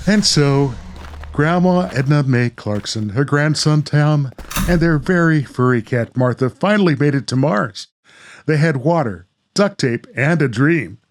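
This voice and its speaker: Animated man narrating, fairly high voice. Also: a little slow. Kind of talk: scripted narration of comedy radio play.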